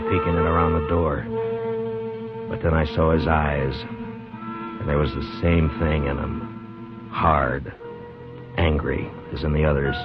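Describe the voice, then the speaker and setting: An American actor playing a calm, duty-bound frontier marshal in a 1950s radio western, measured and understated. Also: male voice, very deep voice. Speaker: lead actor and narrator of the story. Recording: dull vintage 1950s radio recording, music.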